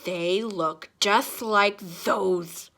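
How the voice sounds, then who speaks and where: Woman playing storybook grandpa, elderly, toothless mumble, dramatic, indignant, suspicious, drawn-out forceful delivery. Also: mid-range voice, vocal fry, heavily acted. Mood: suspicious, angry. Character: distraught old grandfather missing his false teeth, indignant.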